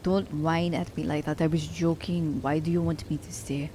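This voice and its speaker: A woman's voice: low-pitched, flat delivery, normal speed, background noise, close to an everyday voice. A woman playing a sly, commanding assassin who teases her captive werewolf in an ASMR roleplay, with a haughty, teasing manner. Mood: softening, teasing.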